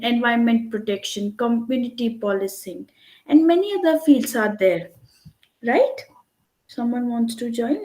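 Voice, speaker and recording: A woman's voice: on the high side, a little mellow. A woman giving an online lecture, explaining at length and checking in with "right?". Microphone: computer mic on a video call. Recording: echoey room.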